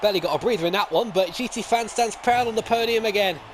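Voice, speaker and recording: A man giving live play-by-play commentary on a sim racing league. He gets excited, jumps up at crashes and overtakes, and wanders into rambling asides and self-corrections. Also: high voice, fast, a little hiss or hum.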